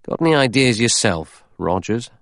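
A man playing a stolid, cautious former police inspector in an audiobook, deliberate and guarded. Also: mid-range voice, expressive, a bit bright, no echo, studio quality, pitched above the performer's own voice. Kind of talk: audiobook character dialogue.

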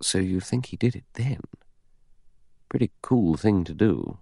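A man's voice: low-pitched, lively intonation, unhurried, vocal fry, dark tone, no room sound, clean studio sound, heavily acted. A man playing a cool, cynical, self-interested adventurer in an audiobook, nonchalant and wry. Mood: thoughtful. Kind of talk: audiobook character dialogue.

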